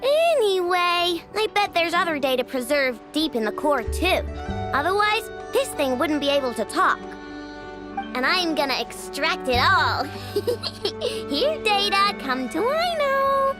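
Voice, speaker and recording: A woman voicing an excitable, cocky young girl inventor in a video game, bubbly and boastful, full of exclamations. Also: extremely high pitch, takes it slowly, over music, exaggerated voice. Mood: excited, relieved.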